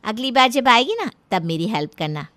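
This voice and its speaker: Bright, high-pitched flustered mother played by a woman. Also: big pitch swings, normal speed. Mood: flustered, placating. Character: middle-aged Indian mother, flustered hostess, scolding.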